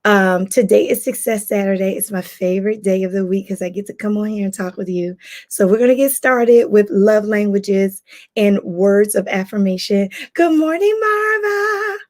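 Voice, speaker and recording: A hoarse woman, a life coach, hosting a casual livestream and greeting viewers by name. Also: mid-range voice, moderate pace, darkish tone.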